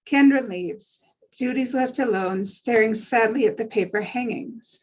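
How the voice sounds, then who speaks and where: A woman reading scripted stage directions in an even, deliberate narrating manner. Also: fairly high pitch, poor audio.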